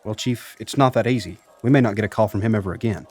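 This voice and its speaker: A man playing a courteous, measured late-night soul radio DJ in a radio drama. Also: fairly low pitch, a little mellow, slightly rough. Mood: polite, concerned.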